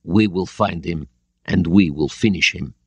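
A man's voice: sits low, a little slow, slightly rough, dry recording. A man voicing an aggressive young male Soviet attack submarine captain giving cold, clipped orders. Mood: cold, determined.

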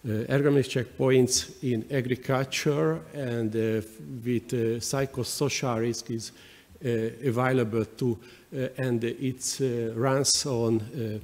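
A man whose first language is Hungarian speaks at a lectern microphone in a large conference hall, with long reverb trailing his words. He answers haltingly, stacking fillers and repeating words as he searches for them. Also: mid-range voice, a little mellow. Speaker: panel speaker.

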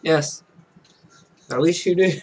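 A man's voice: on the high side, slow, a little mellow, a little hiss or hum. A man in his twenties reading game text aloud with casual, joking, self-mocking commentary. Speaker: Let's Play streamer. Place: small room at home.

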